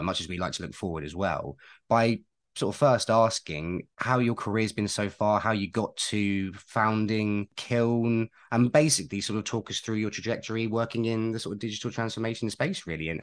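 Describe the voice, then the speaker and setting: A man with a Manchester accent hosts a podcast, his voice sitting very low. He rambles in a friendly, jokey way, circling through asides before getting to the point. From Manchester, England. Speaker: podcast co-host. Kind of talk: casual podcast chat.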